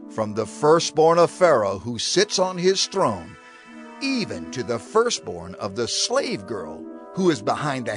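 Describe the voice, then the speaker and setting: A man's voice: mid-range voice, heavily acted. A man playing a bold prophet confronting a king in a scripture drama, declamatory, emphatic and defiant.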